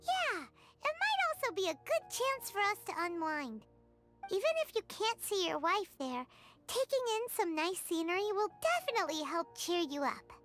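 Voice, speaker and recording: A woman's voice: very high-pitched, big pitch swings, normal speed, fairly bright tone, faint music behind, heavily acted. A woman voicing a tiny, chirpy female travel companion in a video game, eager and encouraging.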